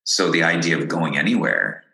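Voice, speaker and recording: A man's voice: very deep voice, big pitch swings, normal speed, vocal fry, pushed and bright, echoey room. A man raised in Canada, a podcast guest, talking earnestly and with enthusiasm, often restarting and repeating words. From born in Ireland, raised in Ontario, Canada. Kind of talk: casual interview chat.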